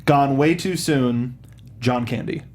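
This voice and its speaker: A man's voice: medium pitch, slow. A man hosting a casual film discussion panel, chatty and given to acting out little asides. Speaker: podcast host. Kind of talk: casual panel chat about films.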